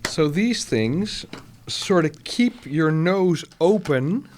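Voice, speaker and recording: A man's voice: a little high, animated, drawn-out words, a little mellow, a little hiss or hum. A man co-hosting a casual podcast, eagerly explaining things and often repeating his words as he goes. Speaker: podcast co-host. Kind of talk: casual chat.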